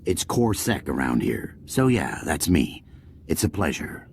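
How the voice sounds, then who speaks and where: A man's voice: rumbling low, very animated, a little slow, gravelly, a little mellow, slight background noise, heavily acted. An actor playing an easygoing male security officer and peacemaker in a video game, laid-back and informal. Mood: easygoing, placating.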